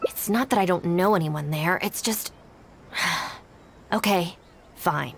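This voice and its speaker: A woman's voice: on the low side, takes it slowly, gravelly, a little hiss or hum. A woman playing a stern, level-headed young gang minder in a video game, speaking in a dry, controlled, exasperated way. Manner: dry, controlled, matter-of-fact. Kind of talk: English video game voice acting.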